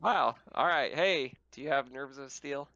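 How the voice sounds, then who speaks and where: A man speaking as game master, narrating a tabletop roleplaying game in a halting, conversational, descriptive way. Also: mid-range voice, pushed and bright, no room sound, dull audio.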